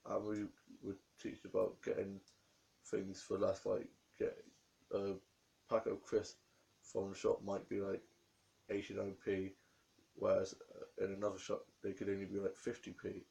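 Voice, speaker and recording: Croaky young man rambling. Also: on the low side, monotone, moderate pace, warm tone. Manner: rambling, run-on storytelling. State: croaky with a cold. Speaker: vlogger. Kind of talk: casual diary vlog.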